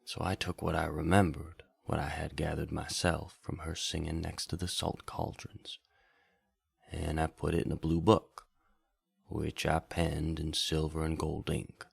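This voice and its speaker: A man playing a reflective wandering bayou bard who hosts a late-night radio drama, telling stories in a measured, wistful way with pauses. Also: monotone, dry recording, exaggerated voice. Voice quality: deep, gravelly, husky. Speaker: radio drama host and storyteller. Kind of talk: slow intimate late-night radio storytelling.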